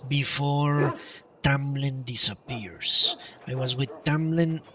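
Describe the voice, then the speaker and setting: A man doing casual live game commentary, thinking aloud in a halting way as he streams. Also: mid-range voice, a crowd in the background. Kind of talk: casual gameplay commentary and chat. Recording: distant mic with game music behind. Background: game music.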